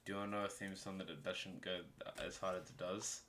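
A man's voice: low voice, steady tone, fast, a bit bright, a little hiss or hum. A young man chatting casually and joking while playing a game with friends. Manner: playful, jokey, laughs often. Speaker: gamer. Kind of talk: casual gaming chat.

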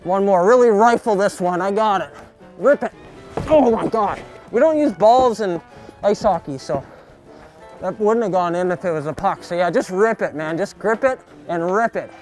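A young man outdoors giving a jokey sports tutorial, coaching in a mock-serious, deadpan way full of hockey slang. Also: sits high, moderate pace, rough recording. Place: backyard, outdoors. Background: music.

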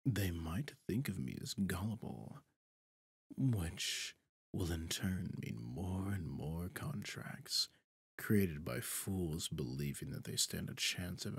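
A possessive demon lord for an ASMR roleplay, performed by a man. His voice is very deep and gravelly, close and dry. He speaks with pompous, smug deliberation, sinking into breathy half-whispers. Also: fairly bright tone, dry recording, full character voice. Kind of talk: scripted ASMR roleplay monologue.

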